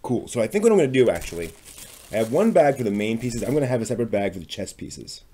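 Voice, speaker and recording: A man casually thinking aloud as he works on a craft, muttering to himself in short, unhurried phrases. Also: mid-range voice, sing-song, dark tone, slightly rough. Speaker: maker hosting a craft workshop video.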